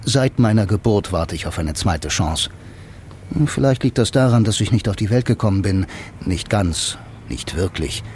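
A man's voice: lowish voice, lively intonation, moderate pace, darkish tone, a little hiss or hum. An actor playing a blind bank clerk who narrates his own story in a dry, laconic, musing way for a radio drama. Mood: brooding. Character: blind male bank clerk, wry film noir loner.

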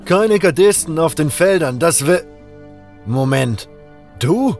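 Man doing a barking, high-pitched bullying overseer. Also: lively intonation, slow, darkish tone, soft background music. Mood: angry. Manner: barking, threatening. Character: harsh, bullying male rice-field overseer.

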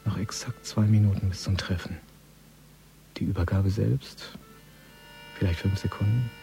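An actor playing a tense, cynical gangster in a radio drama monologue, muttering in short clipped phrases that often sink toward a whisper. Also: male voice, sits low, vocal fry. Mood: cynical, watchful. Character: tense, cynical male Moscow gangster watching a handover. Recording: studio radio play with prominent music. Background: music.

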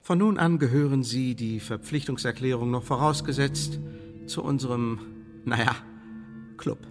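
Male spymaster played by an actor for Elizabethan radio drama: formal, pompous, silkily threatening, mid-pitched, in a long reverb. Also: moderate pace, music in the background, natural voice. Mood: businesslike, persuasive. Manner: formal, pompous, ornate officialese with veiled threats. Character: smooth, menacing English secret service chief, Elizabethan era. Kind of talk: German radio drama acting.

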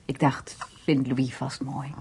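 An actress playing a coy, teasing younger woman in an intimate radio drama, with a soft, breathy voice. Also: a bass voice, little pitch movement, slight background noise, exaggerated voice. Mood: coy, playful. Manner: coy, playful, slow.

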